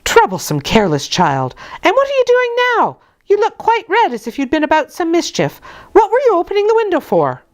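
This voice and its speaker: A woman reading a storybook and voicing a bossy, brisk nursemaid who scolds with sharp, impatient questions. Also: very high-pitched, huge pitch swings, voice pushed up, exaggerated voice. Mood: scolding. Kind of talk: storybook character voice.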